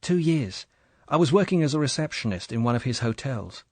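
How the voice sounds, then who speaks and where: A man voicing a young, pretty English wife who talks in a soft, chatty, apologetic way. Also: mid-range voice, sing-song, a little fast, no echo, voice pushed up. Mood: eager.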